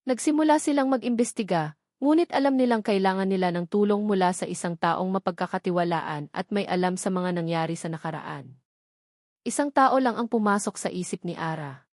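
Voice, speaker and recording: A woman's voice: medium pitch, darkish tone, no room sound, clean studio sound. A woman reading a scripted dramatic love story as its narrator.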